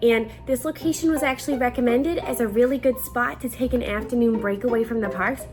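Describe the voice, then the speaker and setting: A woman's voice: fairly high pitch, brisk, darkish tone. A woman giving a dramatic reading of a written complaint review. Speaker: guest reader of online reviews. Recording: clear music behind the voice.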